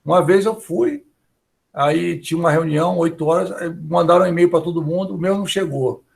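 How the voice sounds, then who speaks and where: A man's voice: a little high, brisk, gravelly. A male professor with a Brazilian Portuguese accent, lecturing in a chatty, conversational way and often tagging his sentences with little check-in questions. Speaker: university professor. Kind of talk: online class lecture, conversational.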